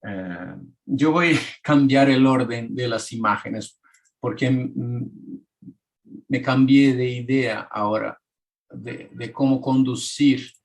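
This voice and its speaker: A man's voice: medium pitch, takes it slowly, gravelly, low-quality recording. A man with a Brazilian Portuguese accent giving an academic talk in a second language, hesitant, with many restarts and fillers, speaking over a video call. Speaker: architect and author presenting his book.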